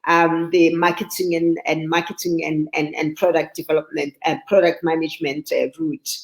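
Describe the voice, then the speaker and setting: A woman's voice: deep voice, fairly even intonation, moderate pace, vocal fry, roomy sound. A woman in her sixties with a South African accent, speaking conversationally as a guest in an online interview. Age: 60s. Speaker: guest, arts advisor.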